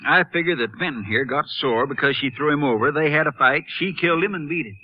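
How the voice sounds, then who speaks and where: A man's voice: on the high side, fast talker, pushed and bright. A man playing a folksy, talkative small-town Texas sheriff in a vintage radio drama. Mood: plain, informative. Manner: folksy, conversational. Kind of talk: 1950s radio drama acting. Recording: noisy old radio drama recording.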